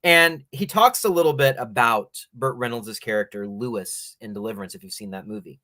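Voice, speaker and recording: A man hosting a culture show, giving opinionated, explanatory film commentary in a measured, bookish way and reading passages aloud. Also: mid-range voice, sharp tone, no echo.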